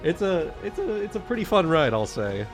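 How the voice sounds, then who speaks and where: A man chatting casually as a livestream host, explaining things in a halting, stop-and-start way. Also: high-pitched, very animated, soft background music. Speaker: livestream host and game master.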